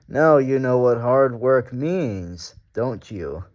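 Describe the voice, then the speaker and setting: A man's voice: medium pitch, animated, slow, darkish tone, lo-fi sound. Man playing a bossy, smug big express engine. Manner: bossy, teasing. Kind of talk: children's storybook read-aloud.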